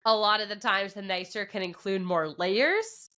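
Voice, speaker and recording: A woman from Canada with an English Canadian accent, co-hosting a podcast in chatty, animated storytelling. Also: medium pitch, moderate pace. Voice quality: drops P sounds at the start of words. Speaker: podcast co-host. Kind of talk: casual chat.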